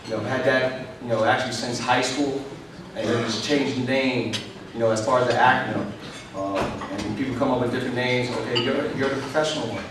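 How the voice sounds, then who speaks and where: A man's voice: mid-range voice, steady tone, brisk, background noise. A middle-aged man from New York giving a rambling, conversational keynote speech, full of "you know" fillers. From New York, United States. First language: English. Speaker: keynote speaker. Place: large convention hall. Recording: echoey hall from far off.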